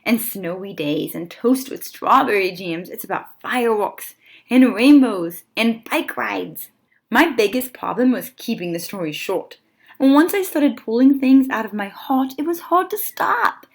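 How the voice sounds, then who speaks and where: A woman reads a children's story aloud in a lively, fairly high voice. Her pitch moves around a great deal, and she phrases deliberately, pausing for dramatic effect as a storyteller does. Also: creaky. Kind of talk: expressive children's picture book read-aloud.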